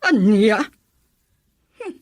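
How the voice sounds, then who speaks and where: A woman's voice: mid-range voice, sing-song, extremely slow, no echo, studio quality, exaggerated voice. An actress playing a stern, disapproving middle-aged mother, indignant and scolding, in a radio drama.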